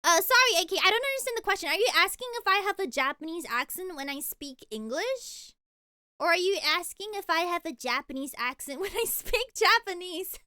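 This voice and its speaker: A woman's voice: extremely high pitch, expressive, fairly quick, bright, forceful tone, dry recording, clean studio sound. A young woman streaming casually, gushing and giggling as she reacts and answers chat. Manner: bubbly, gushing, frequent giggles and repeated words. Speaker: VTuber streamer.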